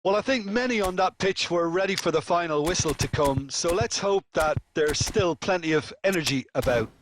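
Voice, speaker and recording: A man's voice: fairly high pitch, lively intonation, moderate pace, noisy background, lightly acted. A man voicing an analytical football co-commentator in a video game, explaining play in a conversational way.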